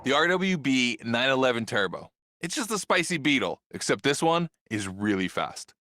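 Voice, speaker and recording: An actor playing a laid-back, enthusiastic car nerd who coaches stunt driving in a racing game, casual, slangy and encouraging. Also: male voice, medium pitch, sing-song, moderate pace, no room sound, studio quality. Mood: amused, fond. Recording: loud music and car noise behind. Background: loud music, cars.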